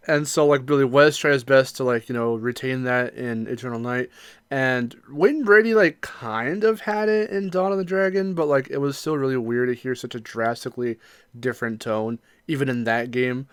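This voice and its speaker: A man co-hosting a casual podcast discussion, talking in a halting, reflective way and hedging his opinions. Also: mid-range voice, clear, resonant tone, no room sound. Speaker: podcast co-host.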